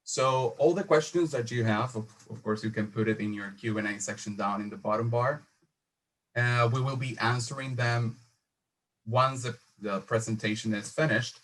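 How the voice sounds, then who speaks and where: A man's voice: medium pitch, moderate pace, a bit bright, rough recording. A man moderating a webinar, speaking hesitantly with many fillers. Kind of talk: introducing a webinar.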